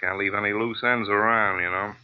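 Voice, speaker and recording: An actor playing a weary, matter-of-fact police lieutenant in a 1940s radio drama. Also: male voice, fairly low pitch, fairly even intonation, fairly quick, clean tone, lightly acted. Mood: casual. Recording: muffled old-time radio recording.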